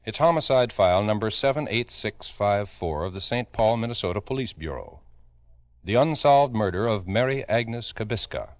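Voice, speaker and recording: An American man narrating a 1950s radio crime program in a grave, deliberate, dramatic manner. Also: lowish voice, lively intonation, no echo. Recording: dull vintage radio recording with music.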